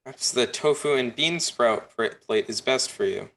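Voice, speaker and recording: Guy doing fed up hot dog counter dude, dry sarcasm, medium pitch, sitcom read. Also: normal speed, vocal fry. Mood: sarcastic, curt. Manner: deadpan, sarcastic, curt. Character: sarcastic, impatient hot dog stand worker. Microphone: video call.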